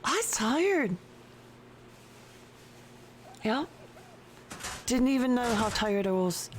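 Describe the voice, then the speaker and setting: A woman live-streams a game from her desk at home, talking slowly and easily. She chats with viewers and her pet between moves. A faint background hiss sits under her voice. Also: a little high, sing-song. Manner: slow, chatty, talks to viewers and her pet. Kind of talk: casual live-stream chat while playing. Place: home desk with a cat nearby.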